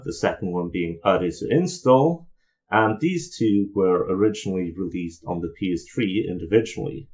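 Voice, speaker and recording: A man giving a spoken review commentary, hesitant, with frequent filler pauses, on a dull lo-fi recording. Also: lowish voice. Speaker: video game reviewer.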